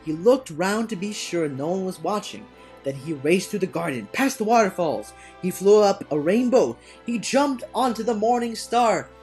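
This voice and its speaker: Animated high-voiced man reading a storybook. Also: normal speed. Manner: theatrical, swinging from hushed to shouting. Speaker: read-aloud storyteller. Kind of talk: dramatic storybook narration. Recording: orchestral music behind the voice. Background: orchestral music.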